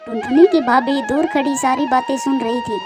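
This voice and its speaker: High-pitched woman narrating a story. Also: moderate pace. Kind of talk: scripted cartoon story narration. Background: clear background music.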